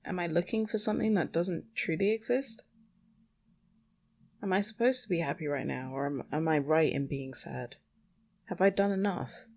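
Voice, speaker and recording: Blunt, creaky-voiced young podcaster rambling casually. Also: mid-range voice, normal speed, a little mellow. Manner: blunt, sweary, self-questioning. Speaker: podcast host. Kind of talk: casual solo rambling monologue.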